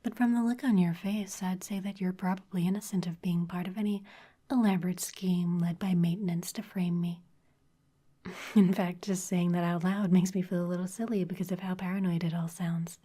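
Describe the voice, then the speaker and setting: A poised vampire executive, played by a woman, who whispers softly and teases with a quiet edge of menace. Her voice sits a little low, with a dark, breathy grain. This is an ASMR roleplay. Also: little pitch movement, moderate pace. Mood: amused. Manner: soft whispering, teasing with veiled threats. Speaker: ASMR performer.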